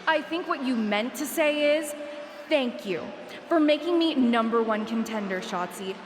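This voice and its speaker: A vengeful female wrestler who taunts and accuses at a slow, deliberate pace. Her voice sits in a roomy echo with a long tail, and steady noise runs underneath. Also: on the high side. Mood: taunting. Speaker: video game character, rival wrestler. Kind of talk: video game cutscene acting.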